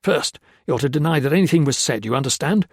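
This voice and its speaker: A man's voice: fairly high pitch, expressive, quick pace, no echo, clean studio sound, voice pushed up. A man voicing a crooked army colonel who commands, blusters and threatens. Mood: bullying. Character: bullying male army colonel, menacing and crooked.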